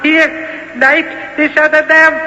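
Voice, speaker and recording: A woman's voice: high voice, flat delivery, bright, forceful tone, heavily acted. An actress playing a sinister, mocking old witch in a 1970s radio drama, cackling and speaking with menace. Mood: sly, gloating. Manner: cackling, slow, menacing delivery. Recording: dull, noisy old radio broadcast recording.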